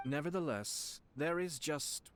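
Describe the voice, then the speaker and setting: An actor playing a polite, composed young noble clan head in a video game, speaking in a formal, measured and reassuring way. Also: male voice, a little high, lively intonation, a bit bright, slight background noise. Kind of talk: scripted video game dialogue.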